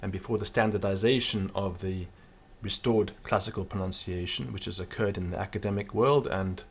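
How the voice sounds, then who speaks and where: A man giving an unscripted pronunciation lesson, explaining with fillers and then slowly sounding out words to demonstrate them. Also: low voice, fairly even intonation, vocal fry, husky. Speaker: Latin teacher.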